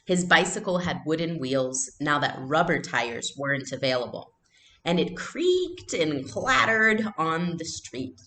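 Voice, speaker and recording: A woman teacher reading a storybook aloud in an expressive, explanatory way. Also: deep voice, measured pace.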